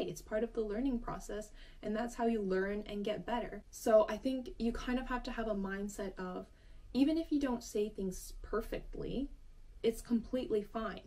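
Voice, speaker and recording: A woman's voice: medium pitch, dark tone. A young woman who speaks Finnish as her first language, hosting a language lesson and explaining points step by step in a friendly, encouraging way. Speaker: language teacher and channel host. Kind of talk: casual explanatory lesson to camera.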